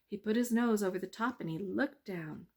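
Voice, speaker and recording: A woman narrating a children's bedtime story in a measured, expressive read-aloud manner. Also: mid-range voice, husky.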